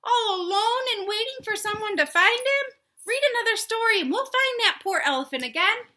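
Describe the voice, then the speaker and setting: A woman voicing an excitable, mischievous little girl chicken in a children's picture book read-aloud, eager and full of exclamation. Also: extremely high pitch, fairly quick, voice pushed up, exaggerated voice. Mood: pleading.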